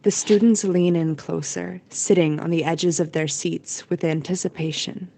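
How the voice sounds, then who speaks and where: A woman's voice: lowish voice, steady tone, moderate pace, gravelly, dark tone. A woman narrating a scripted audio drama as its storyteller.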